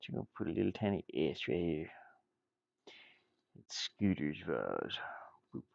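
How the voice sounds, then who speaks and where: Deep male voice, crackly fry, offhand rambling commentary, playful self-talk, repeated words. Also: big pitch swings, moderate pace, no room sound, dull audio. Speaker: indie game developer streaming. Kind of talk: casual rambling commentary while drawing pixel art.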